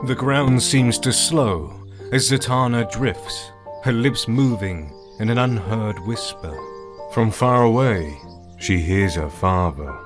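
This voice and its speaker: A man's voice: lowish voice, lively intonation, slow pace, over music. A man narrating a scripted audio drama as its storyteller.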